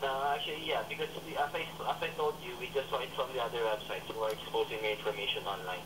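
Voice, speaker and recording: A male call centre agent heard over a telephone with clear line noise. He talks quickly and delivers practised customer service phrases. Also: mid-range voice, fairly even intonation. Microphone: heard over a phone call.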